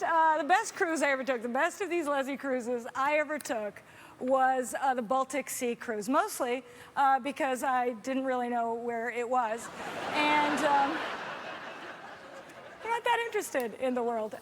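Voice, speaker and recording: A young woman from Canada, whose first language is Hungarian, works a stand-up crowd with a high, bright voice. She rambles, restarts and teases herself. A faint hum sits under the stage mic. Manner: chatty, self-deprecating, lots of fillers and restarts. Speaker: stand-up comedian. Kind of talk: stand-up comedy set. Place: comedy festival stage.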